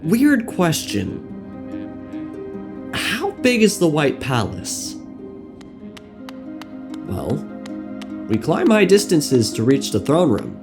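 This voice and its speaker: Male narrator, scripted video essay, wry asides, slow pace, wide intonation, background music. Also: a little high, wildly swooping pitch. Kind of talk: scripted game lore narration.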